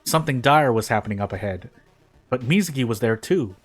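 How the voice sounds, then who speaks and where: Guy reading fanfic out loud, normal pitch, some music behind him. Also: animated, brisk. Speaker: fan fiction reader. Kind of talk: dramatic reading of prose fiction aloud. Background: background music.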